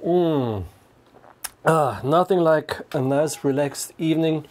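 A man's voice: a little high, slow. A middle-aged man with a cold and a clogged-up voice, chatting loosely to viewers from his home studio while holding a guitar, with lots of fillers. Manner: relaxed, rambling with many fillers. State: congested from a cold. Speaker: guitarist hosting a home live stream. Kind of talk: casual chat to viewers.

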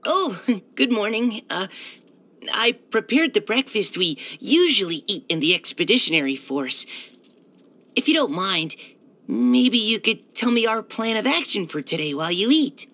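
A woman's voice: medium pitch, big pitch swings, measured pace, full character voice. A woman voicing a polite, earnest young male military surveyor in a video game, with a hesitant, helpful manner. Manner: hesitant, soft-spoken politeness, eager to help. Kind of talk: video game character voice-over.

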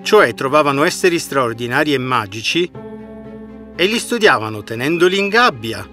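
A man narrating a scripted fairy tale in an expressive storytelling manner. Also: mid-range voice, moderate pace. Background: background music.

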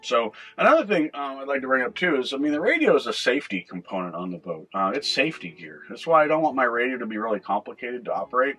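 A man's voice: mid-range voice, animated, fast talker, hardly any pauses, a bit bright, husky, faint music behind. A middle-aged man with a North American accent, giving an informal, conversational how-to explanation as a video host. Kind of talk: informal instructional vlog. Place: inside a small boat cabin at the helm.

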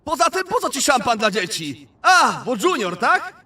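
A dim, excitable anime hero, very high and sharp, with lively jumps in pitch. The delivery is bewildered and exclamatory, in amateur parody dub style, a little away from the mic. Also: male voice, moderate pace, distant mic, exaggerated voice.

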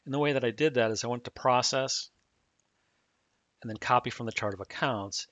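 A man calmly explaining software setup step by step in a screen-recorded tutorial. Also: mid-range voice, fairly quick, no room sound. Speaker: software trainer. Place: at a computer, voice-over on a screen recording. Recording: dull dry mic audio.

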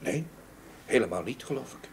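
An actor playing a grieving, haunted older gentleman in a Dutch radio play, speaking in a halting, brooding way. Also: medium pitch, lively intonation, creaky. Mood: reminiscing. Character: grieving, haunted older gentleman seeking revenge for murdered niece. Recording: old radio play recording, background noise.

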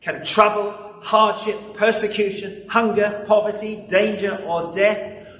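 An elderly man preaches in a large, echoing church, picked up from a distance. His delivery is very slow and solemn, with weighty pauses and deliberate repetition. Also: sits high, fairly even intonation, a bit bright. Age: 70s. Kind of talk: sermon with scripture reading. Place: large church interior, standing at a lectern. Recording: echoey hall, distant mic, background noise.

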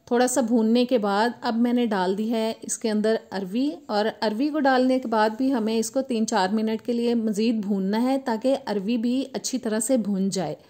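Woman with a mellow, slightly high voice, steady cooking voice-over. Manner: steady step-by-step instructional tone. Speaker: cooking channel host. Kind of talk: recipe voice-over narration.

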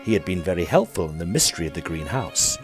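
An actor with a British accent playing a peevish, smitten Edwardian gentleman ghost hunter, narrating formally in an audio drama. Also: deep voice, lively intonation, fast, over music. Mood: earnest, formal. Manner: formal, stiffly proper, fussy.

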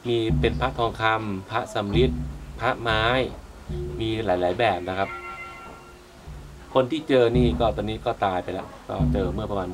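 A man's voice: fairly low pitch, fairly even intonation, moderate pace, pauses often, poor audio. A man in his thirties, chatting casually as he films a travel vlog at a cave entrance, his voice picked up by a handheld camera mic. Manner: chatty, easygoing, narrates as he walks. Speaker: travel vlogger. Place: outdoors at a cave entrance on a cliff. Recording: music playing under the voice.

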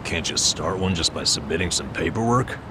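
Dry, low-voiced man. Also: lively intonation, brisk, noisy background. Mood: puzzled, dry. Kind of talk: English video game cutscene dub. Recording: music behind the voice.

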